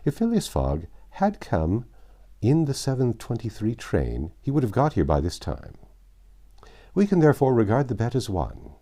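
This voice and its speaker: Man reading as an emphatic, insistent gentleman clubman, lively pitch. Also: normal speed. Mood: confident. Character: nervous, insistent gentleman engineer at a London club.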